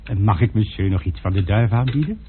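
An actor playing an unflappable, food-loving manservant with a fussy, deferential way of talking, in old Dutch radio drama style. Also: male voice, fairly low pitch, brisk, natural voice. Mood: deferential, eager. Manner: fussy, expressive, obsequious to his master. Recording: tinny, noisy old radio play recording.

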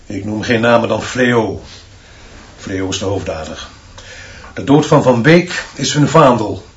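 An actor in a radio drama playing a merciless, authoritarian school director who speaks in clipped pronouncements. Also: male voice, fairly low pitch, animated, unhurried, lo-fi sound. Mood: forceful, grim. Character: stern, dictatorial male headmaster, unbending and merciless. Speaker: school director addressing his teaching staff.

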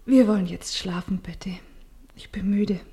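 An actress playing a tired, gentle, fearful wife in a 1950s German radio play, motherly and often hushed, with a breathy voice. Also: mid-range voice, moderate pace.

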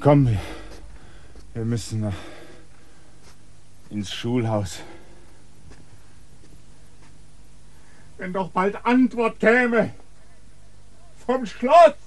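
An actor in a German radio drama playing a restless, stubborn outsider with a theatrical, intense delivery. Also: male voice, high-pitched, animated, drawn-out words, pauses often, heavily acted. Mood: anxious, urgent. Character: restless, stubborn outsider man, anxiously waiting, bitterly proud.

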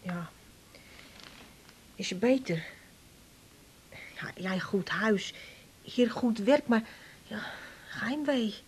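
An actress playing a grateful, homesick Turkish migrant wife in a radio drama, with a Turkish accent, speaking in halting, broken sentences. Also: female voice, medium pitch, lively intonation, slight background noise. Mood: hesitant, homesick.

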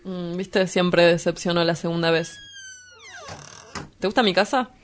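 A woman's voice: on the low side, fairly even intonation, a little slow, lightly acted. A woman playing a cynical, evasive young male outcast in a dramatized dialogue, with an Argentine accent and a dry, offhand manner. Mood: offhand, wry.

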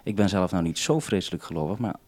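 A man's voice: deep voice, fast, a little mellow. A man hosting an unscripted two-way radio conversation, speaking hesitantly and often interrupting himself. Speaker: radio programme maker and host.

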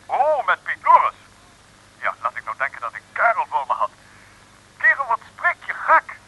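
Shrill, raspy scoffing official. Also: male voice, huge pitch swings, moderate pace, pushed and bright, exaggerated voice, rough recording. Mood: incredulous. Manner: incredulous, exclaiming, chatty. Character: jovial, scoffing male government official on the telephone. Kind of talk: 1960s radio comedy serial acting.

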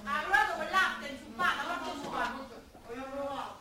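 An actress in live radio theatre playing a chatty, excitable working-class housewife with a Roman accent. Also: female voice, high voice, normal speed, natural voice. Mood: bossy, chatty. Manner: chatty, exclamatory. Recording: echoey hall, far off, crowd noise.

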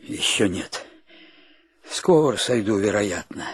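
Radio-play role: polite, loyal husband, husky and expressive, recorded dry. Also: male voice, on the low side, dry recording, full character voice. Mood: weary, resigned. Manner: courteous, halting, emotional. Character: polite, sensitive husband, loyal to his friends. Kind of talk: theatrical radio drama acting.

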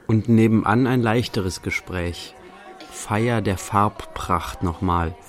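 A man's voice: on the low side, slow, faint music behind. A man acting as the narrator of a scripted radio play, observing an art-world party. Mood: observational.

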